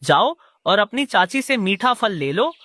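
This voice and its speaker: A woman's voice: mid-range voice, sing-song, unhurried, a bit bright. A woman voicing a commanding, scheming male crow in a children's cartoon. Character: gruff, menacing male crow villain husband.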